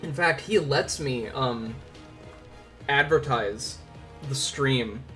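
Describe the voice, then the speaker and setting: A man's voice: medium pitch, takes it slowly, a bit bright. Rambling young man chatting over music. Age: 20s. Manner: rambling, informal with swearing. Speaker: livestream host. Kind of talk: casual livestream chat with viewers.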